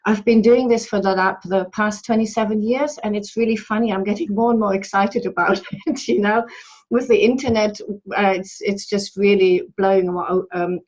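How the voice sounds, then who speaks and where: A woman's voice: medium pitch, fairly even intonation, normal speed, darkish tone. A woman who is a native German speaker, giving a chatty, laughing self-introduction as a German tutor over a video call. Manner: chatty, laughs often, restarts sentences. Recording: muffled video call audio.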